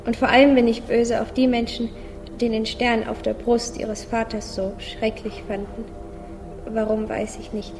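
A woman's voice: fairly high pitch, little pitch movement, normal speed, close to an everyday voice. A woman playing a naive, sad little boy musing simply in a radio play. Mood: earnest, sullen. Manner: simple childlike musing, repeating phrases. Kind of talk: radio play inner monologue. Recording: long reverb, music clearly behind.

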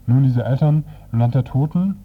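A man presenting on radio, retelling a comic's story in a dry, hesitant, semi-improvised way with a husky voice. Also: mid-range voice, animated, normal speed. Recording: dull, lo-fi radio recording.

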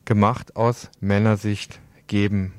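A man's voice: lowish voice, flat delivery, takes it slowly, darkish tone, no room sound. Man presenting radio news in a dry studio.

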